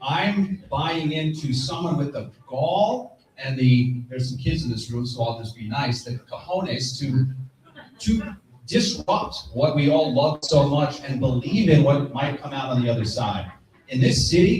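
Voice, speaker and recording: Man, mid-pitched, sports panelist, emphatic, repetitive phrasing, live stage talk, heavily reverberant room. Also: a little mellow. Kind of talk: lively live panel discussion about baseball. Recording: very echoey room, distant from mic.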